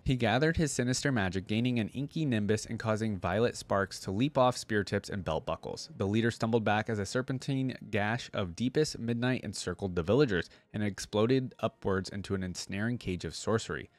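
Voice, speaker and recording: A young man reading lore aloud and commenting casually, with expressive reading and conversational asides, close to a desk microphone. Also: fairly low pitch, normal speed, few pauses. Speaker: reaction video host. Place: indoor streaming setup. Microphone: close desk microphone on a boom arm.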